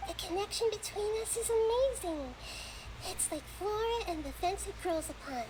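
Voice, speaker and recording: A little female spirit from a video game in an extremely high, childlike voice. She sounds sweet, gentle and caring. Clear ambient noise sits behind her. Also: expressive, normal speed. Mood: gentle, thoughtful.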